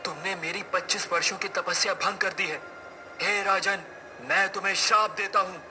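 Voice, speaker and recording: A man's voice: high voice, steady tone, normal speed, bright, forceful tone, soft background music. A man playing a wrathful hermit sage in a cartoon tale, speaking in grave, declamatory, old-fashioned address. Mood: angry, condemning. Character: wrathful male hermit sage pronouncing a solemn curse. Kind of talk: dramatic cartoon story acting.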